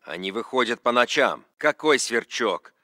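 Russian army grunt in a shooter, curt, tense, swingy pitch, close dry recording. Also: male voice, animated, moderate pace, bright, forceful tone, no echo. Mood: terse. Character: tense, gruff Russian soldier, curt and menacing. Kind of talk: video game military voice lines.

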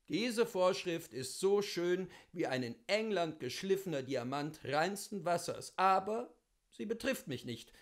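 High-pitched man, animated literary reader. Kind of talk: expressive literary reading of a memoir.

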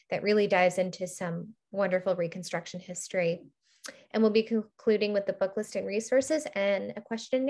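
Woman running a webinar over video call, upbeat, a few ums, mid voice. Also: mid-range voice. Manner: upbeat, organized, with filler ums. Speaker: webinar moderator. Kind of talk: moderating a webinar. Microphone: computer mic on a video call.

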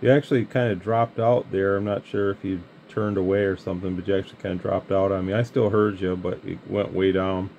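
A man's voice: low voice, fairly quick, few pauses. A man with an American Midwestern accent chatting casually as a CB radio hobbyist. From Michigan, United States. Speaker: radio hobbyist, channel host. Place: indoor radio bench. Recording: steady background hiss and radio noise.

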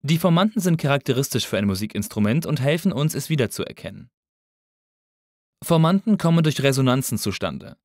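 A man reading a scripted tutorial as an instructor, in a measured, didactic manner. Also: mid-range voice, very animated, dry recording, clean studio sound. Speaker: audio engineering instructor. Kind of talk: scripted lesson narration.

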